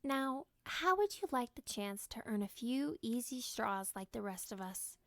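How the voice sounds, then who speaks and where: A caring mother in a storybook, played by a woman reader, comforting her child. Her voice is fairly high with some creak, soft and tender in manner, in a very dry recording. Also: expressive, a little slow, no room sound. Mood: tender, reassuring.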